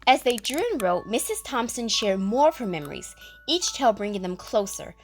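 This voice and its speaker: A woman narrates a scripted story for children. Her voice sits at a medium pitch and moves with lively, expressive intonation. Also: fairly quick, a little hiss or hum.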